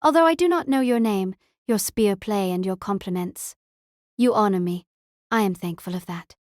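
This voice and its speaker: A woman's voice: medium pitch, moderate pace, darkish tone, no echo, clean studio sound, close to an everyday voice. A woman playing a proud, courteous young knight who speaks with formal dignity. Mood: courteous, resolute.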